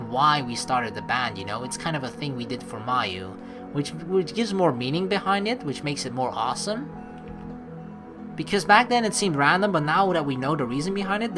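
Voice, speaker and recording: A woman hosts a let's play in an informal, animated way. Her voice sits fairly low but moves around a lot in pitch as she comments and reads dialogue aloud. Faint game music plays behind her.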